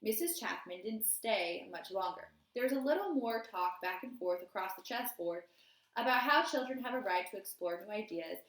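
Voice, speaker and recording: Female storybook reader, teacherly, expressive and deliberate, mid-pitched, recorded in an echoey room. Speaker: teacher reading a children's novel aloud. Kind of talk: storybook read-aloud narration. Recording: roomy, echoey indoor sound.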